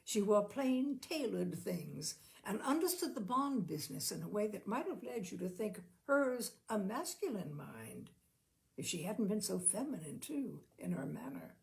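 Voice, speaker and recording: A woman's voice: medium pitch, sing-song, measured pace, gravelly, a little mellow, poor audio. A woman narrator reading a literary short story expressively and acting out the characters' dialogue.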